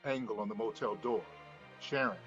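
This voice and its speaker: A man's voice: on the low side, fairly even intonation, moderate pace, dull audio, over music. A man narrating the stage directions of a screenplay at a table read.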